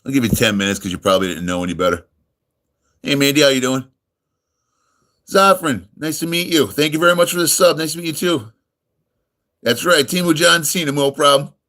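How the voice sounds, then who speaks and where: A man's voice: a little high, brisk, long pauses, pushed and bright. A middle-aged man from the United States, near New York, chatting casually on a live stream, greeting viewers and answering their messages in a friendly, rambling way full of ums and uhs. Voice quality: full radio-style voice.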